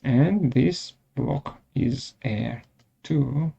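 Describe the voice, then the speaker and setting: A man presenting a step-by-step software tutorial in short, halting instructional phrases, with a gravelly voice. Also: mid-range voice, muffled recording.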